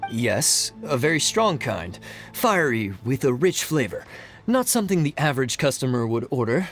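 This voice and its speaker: An actor playing a polite, somewhat defensive shopkeeper in a video game, explaining himself expressively. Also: male voice, medium pitch, big pitch swings, moderate pace, close to an everyday voice. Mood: helpful. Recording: music playing underneath.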